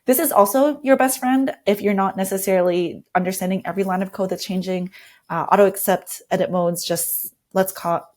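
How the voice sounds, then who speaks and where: A woman's voice: medium pitch, steady tone, fairly quick, darkish tone. A woman speaks as a guest designer demonstrating her workflow. She is casual and informal, thinking aloud while she explains each step of what she is doing. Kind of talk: casual screen-share walkthrough.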